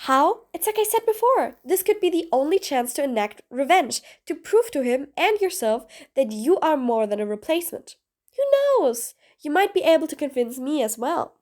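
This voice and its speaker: A woman's voice: high voice, expressive, moderate pace, darkish tone. A woman voicing a cool, blunt female supervillain in an audio roleplay, talking in clipped, commanding, sardonic lines. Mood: persuasive. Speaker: voice actor. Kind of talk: audio roleplay, one side of a dialogue.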